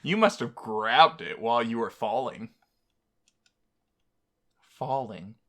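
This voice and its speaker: A man's voice: medium pitch, animated, takes it slowly, a bit bright. A man hosting a let's-play, bantering teasingly and reading a visual novel game aloud.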